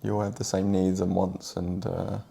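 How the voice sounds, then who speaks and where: English man, very low voice, gravelly, laid-back, hesitant. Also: moderate pace. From England. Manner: relaxed, hesitant, many fillers. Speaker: guest partner. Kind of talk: casual chat.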